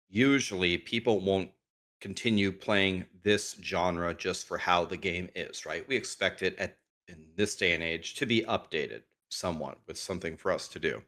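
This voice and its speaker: A man who is a guest on a podcast, talking casually and frankly while his voice is going hoarse. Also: low voice, sharp tone. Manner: frank, thinks aloud with restarts. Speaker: guest streamer.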